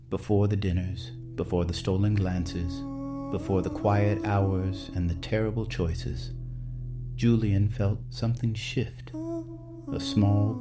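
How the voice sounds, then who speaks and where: Literary audio fiction narrator, male: deep, unhurried, solemn delivery over faint music. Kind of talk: scripted literary story narration.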